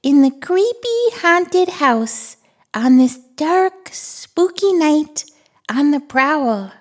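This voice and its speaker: Friendly little monster, squeaky high voice, slow pace, gleeful wonder, children's storybook read-aloud. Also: female voice, big pitch swings, heavily acted. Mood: playfully spooky. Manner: gleeful, giggly, breathless wonder, drawn-out words. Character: excitable, friendly little monster puppet who loves reading. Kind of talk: animated picture-book read-aloud for toddlers.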